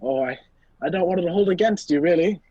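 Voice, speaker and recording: A performer playing a flustered, polite gentleman on the run in an amateur radio play, halting and exclamatory, over a muffled video call. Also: lowish voice, slightly rough, no echo. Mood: wry, urgent.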